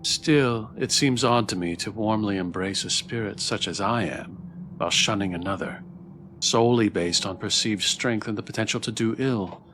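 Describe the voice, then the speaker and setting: A man reading as a mild, inquisitive spirit in a fantasy visual novel. The voice is fairly low, the wording stately and old-fashioned, with faint noise behind it. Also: normal speed, a bit bright, lightly acted. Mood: puzzled, questioning. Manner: archaic, formal, wondering. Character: gentle, questioning spirit protagonist with formal speech. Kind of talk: amateur read-aloud game acting.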